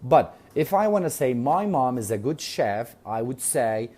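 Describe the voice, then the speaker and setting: Kurdish-accented male English teacher, mellow voice, patient and repetitive, spells words aloud. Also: mid-range voice, sing-song, measured pace. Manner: patient, repeats words and spells them out letter by letter; checks in with frequent "okay?". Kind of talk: online language lesson.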